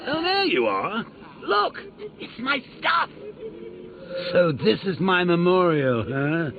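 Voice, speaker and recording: An actor playing a manic, sadistic male clown supervillain in a video game, with a gravelly, mocking, theatrical delivery. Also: sits high, sing-song, takes it slowly, noisy background, full character voice. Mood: indignant. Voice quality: gravelly, mocking, swooping sing-song delivery. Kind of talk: video game villain voice lines. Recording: tinny lo-fi game audio with music.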